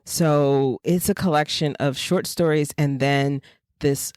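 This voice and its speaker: A woman's voice: sits low, steady tone, takes it slowly, darkish tone, clear, resonant tone, dry recording, studio quality. A woman from Florida chatting casually and enthusiastically about books as a podcast co-host, with emphatic praise. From Jacksonville, Florida, United States. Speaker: podcast co-host, fiction writer. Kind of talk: casual book chat.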